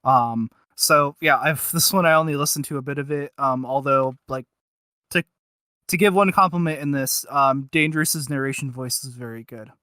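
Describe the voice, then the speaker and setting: A man's voice: medium pitch, moderate pace, pushed and bright, dry recording, studio quality. A man co-hosting a podcast, chatting casually and off the cuff in a hesitant, rambling way full of filler words. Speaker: podcast co-host. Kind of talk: casual unscripted review chat.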